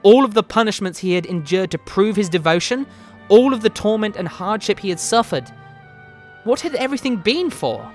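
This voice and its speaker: Higher-pitched man, dramatic story narrator. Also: big pitch swings, moderate pace. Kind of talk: dramatic fantasy story reading. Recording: music behind the voice. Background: background music.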